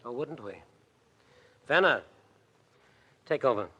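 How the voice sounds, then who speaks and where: An actor playing a smooth gang boss who threatens with clipped, icy politeness in a 1940s radio crime drama. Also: male voice, medium pitch, expressive, slow, highs cut off, over loud music. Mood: cool menace. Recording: noisy old radio recording with clicks.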